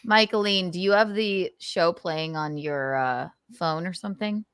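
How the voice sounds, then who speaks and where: A woman's voice: lowish voice, measured pace, a bit bright, a little hiss or hum. A woman hosting a livestream, asking teasing questions in a chatty way. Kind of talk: casual chat on a livestream.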